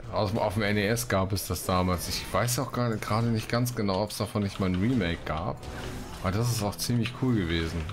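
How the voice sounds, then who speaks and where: A man with a very low voice hosts a let's play in a casual way. He talks through the gameplay and reads out quests, with noticeable background noise of keys and game sounds. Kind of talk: casual gameplay commentary with read-out quest texts.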